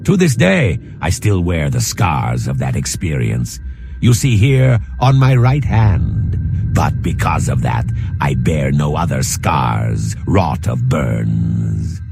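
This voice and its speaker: Fantasy game lizard-man companion: bottomless gravelly voice, pensive, formal, measured delivery. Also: low-pitched, heavily acted. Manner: measured, formal, deliberate pauses. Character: reflective Argonian lizard-man companion, scarred, formal, philosophical. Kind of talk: scripted fantasy game character dialogue.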